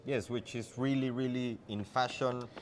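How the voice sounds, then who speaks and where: A man's voice: medium pitch, fairly even intonation, moderate pace. A man whose first language is Spanish, interviewing in English, eagerly agreeing and nudging the talk along. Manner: eager, affirming with frequent "yes" and "of course". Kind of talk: casual interview.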